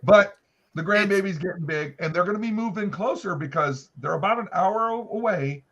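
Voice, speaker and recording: A man's voice: high voice, moderate pace, a bit bright, breathy edge. A middle-aged man chatting casually as a livestream guest on a video call, folksy and emphatic, in dull lo-fi call audio. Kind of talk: casual chat.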